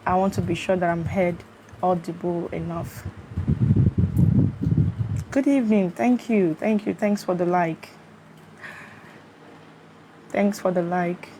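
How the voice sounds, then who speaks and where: A Nigerian woman with a slightly low voice hosts a casual live stream from her phone, greeting viewers and checking that they can hear her. A fan hums steadily behind her. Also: slow pace, long pauses, very noisy. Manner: chatty, often greets viewers and checks the sound.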